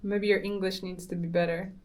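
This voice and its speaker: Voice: medium pitch, level tone, fairly quick. A co-host on a casual live stream, teasing and joking with the host. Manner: teasing, jokey.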